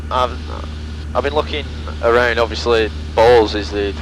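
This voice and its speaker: Man, mid-pitched, talking casually through his flight as pilot, headset mic, clear engine drone in the cockpit. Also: measured pace, a little hiss or hum, poor audio. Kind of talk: casual in-cockpit narration while flying. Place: small propeller plane cockpit in flight. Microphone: aviation headset mic. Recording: loud engine drone in small plane cockpit.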